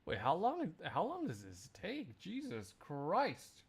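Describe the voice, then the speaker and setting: A man's voice: fairly high pitch, wildly swooping pitch, takes it slowly, gravelly, husky. A man livestreaming, chatting casually to his viewers in a jokey, rambling, exclamatory way. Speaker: live streamer.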